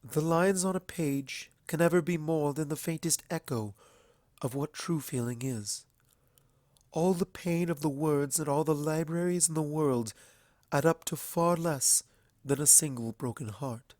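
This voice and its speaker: A man voicing a calm, sorrowful, regal pony princess in a storybook reading, soft and measured. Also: on the high side, no echo, studio quality, pitched above the performer's own voice, lightly acted. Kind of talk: dramatic fan fiction character reading.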